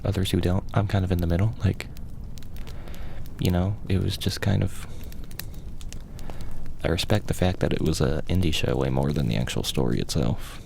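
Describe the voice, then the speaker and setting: A soft-spoken man doing an ASMR roleplay in a casual, rambling, dryly joking way. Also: low voice, vocal fry, a little hiss or hum. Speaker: ASMR performer playing a furry fandom enrollment clerk.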